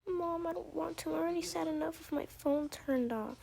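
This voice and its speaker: A woman's voice: very high voice, a little fast, vocal fry, mellow, voice pushed up. A woman voicing a sulky, dramatic teenage girl in an amateur roleplay story.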